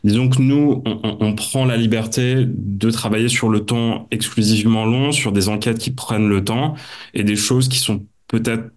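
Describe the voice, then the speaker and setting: A low-voiced man from northern France addresses a public round-table as an investigative journalist. He talks in long, searching sentences, full of fillers and restarts as he gathers his thoughts. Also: fairly even intonation, normal speed, darkish tone. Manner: hesitant, many fillers and restarts. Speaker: investigative journalist, panel speaker.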